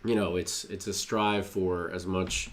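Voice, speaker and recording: A man born in Colombia, co-hosting a casual livestream chat about acting in a relaxed, reflective way. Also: low-pitched, a little slow, soft background music. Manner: relaxed, reflective, mixes in Spanish. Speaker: co-host.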